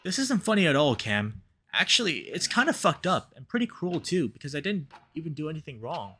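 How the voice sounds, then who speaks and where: A man's voice: fairly high pitch, sing-song, fairly quick, pushed and bright, slight background noise, voice pushed up. A man voicing an anxious, exhausted young man in a visual novel, with dramatic, emotive, pleading delivery. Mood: hurt. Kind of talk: visual novel read-aloud.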